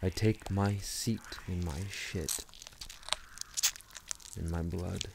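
A man plays a brooding, self-loathing tragic antihero in a radio drama. His low, creaky voice drags slowly and theatrically through a tormented monologue. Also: background noise, full character voice.

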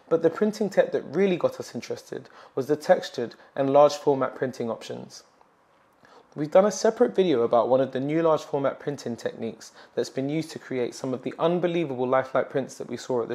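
A young man presenting a tech expo report, reading scripted narration in a measured way. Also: mid-range voice, darkish tone. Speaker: presenter for a photo retailer. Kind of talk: scripted tech show voice-over. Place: large indoor expo hall. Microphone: lapel mic.

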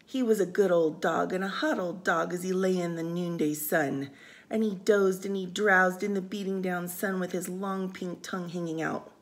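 A woman's voice: fairly low pitch, steady tone, moderate pace. Woman reading a children's picture book aloud. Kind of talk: read-aloud children's storytime with casual asides. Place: indoors, room by a window.

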